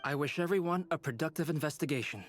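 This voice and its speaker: Guy doing a calm reserved scholar type for a game, formal n measured, faint music. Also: fairly high pitch, close to an everyday voice. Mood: thoughtful. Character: calm, scholarly young male researcher, reserved.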